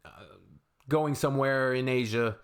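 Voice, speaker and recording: A man's voice: mid-range voice, moderate pace. A man, hosting a reaction video in a loose, rambling way with shrugging asides. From Canada. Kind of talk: casual reaction commentary.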